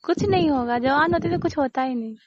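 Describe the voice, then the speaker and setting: A woman's voice: sits high, fairly quick, a little mellow. A woman chatting casually and privately on a recorded phone call, coy and hesitant.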